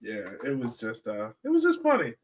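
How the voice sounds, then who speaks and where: A man's voice: medium pitch, huge pitch swings, brisk, a little hiss or hum. A man hosting a sports podcast in casual, joking chat.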